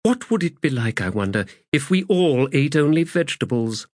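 A man voicing a reflective, soft-hearted woman with an earnest, musing manner, in an audiobook. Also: medium pitch, sing-song, normal speed, no echo, clean studio sound, voice pushed up.

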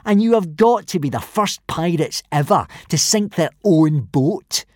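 A man's voice: mid-range voice, wildly swooping pitch, moderate pace, a bit bright, husky, no room sound, clean studio sound, exaggerated voice. A man voicing a smug young Viking bully in a storybook, drawling, jeering and mocking.

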